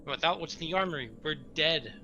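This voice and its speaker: A man's voice: fairly high pitch, brisk, sharp tone, slight background noise. A man playing a tabletop game, talking casually, with slightly lo-fi sound.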